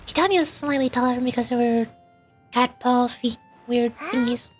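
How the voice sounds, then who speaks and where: Female VTuber streamer, high voice, casual halting commentary over faint music. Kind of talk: livestream read-aloud of game dialogue with casual commentary.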